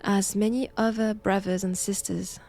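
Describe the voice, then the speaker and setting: A woman playing a solemn, assured Vatican nun who hunts knowledge of vampires, with a French accent and a hushed, deliberate delivery in an intimate audio roleplay. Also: medium pitch, little pitch movement, natural voice. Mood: calm, assured.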